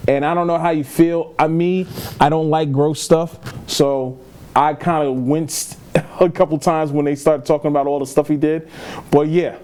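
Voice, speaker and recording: Man, fairly high voice, casual podcast co-host, rambling talk, repeats for emphasis. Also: fairly even intonation, normal speed, a little mellow, clear, resonant tone. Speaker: podcast co-host reviewing films. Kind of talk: casual chat.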